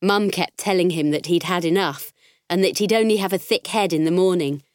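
A woman playing a spirited, candid farm girl, narrating her diary in an expressive, conversational audiobook style. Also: fairly low pitch, brisk, no room sound, studio quality, lightly acted. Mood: mildly irritated.

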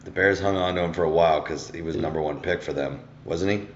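A man's voice: low-pitched, fast talker. A young man co-hosting a podcast in casual chat, laid-back, chiming in and riffing on his partner's lines. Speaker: podcast co-host. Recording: echoey room, dull lo-fi, faint hum.

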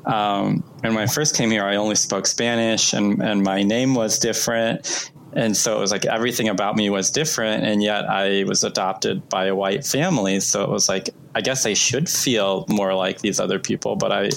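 A man hosting a relaxed conversation, his voice low with a rough, crackly fry. He talks in a reflective, thoughtful way. Light noise sits behind him. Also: normal speed. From Mexico. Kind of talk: casual conversation.

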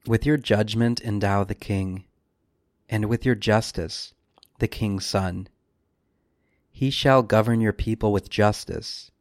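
Priest guy doing a guided meditation, lowish voice, solemn and measured w pauses, pretty dry close recording. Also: no echo. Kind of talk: scripted devotional reading and guided meditation.

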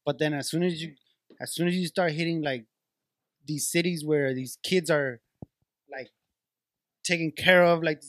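A man's voice: a little high, normal speed, pauses often, a bit bright, no echo, studio quality. A young man co-hosting a comedy podcast, laid-back and slangy, explaining his point in long run-on thoughts. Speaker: podcast co-host. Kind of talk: casual podcast chat.

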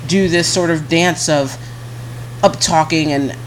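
A woman co-hosting a casual podcast chat, talking bluntly and frankly in a deep, heavy voice she keeps a little higher than her natural one. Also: fairly even intonation, unhurried, a little hiss or hum, low-quality recording. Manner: blunt, frank, swears, laughs often. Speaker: podcast co-host.

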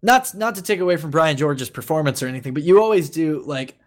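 Higher-pitched man chatting as podcast co-host. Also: animated, quick pace. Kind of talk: casual podcast chat about a cartoon.

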